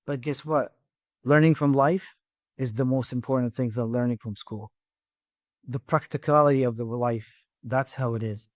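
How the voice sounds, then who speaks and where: A middle-aged man with an Iraqi Arabic accent, a guest on a podcast interview, talking haltingly with many restarts and repeated words. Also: mid-range voice, steady tone, a little mellow, no echo, studio quality. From Iraq. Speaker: guest, engineering firm president.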